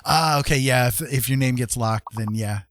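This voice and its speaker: A man's voice: medium pitch, moderate pace, fairly bright tone, dry recording, clean studio sound. A man hosting a casual livestream, commenting on a game in an encouraging, jokey manner. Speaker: livestream host.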